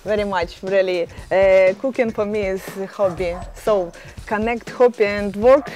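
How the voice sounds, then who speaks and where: A woman in her thirties from Estonia, speaking careful, halting as an interview guest outdoors on a terrace. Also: mid-range voice, steady tone, darkish tone, soft background music. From Estonia, born in Ukraine. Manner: halting, careful non-native English with many pauses. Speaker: interview guest, fitness champion. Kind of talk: casual interview answers. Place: outdoor terrace, hillside view.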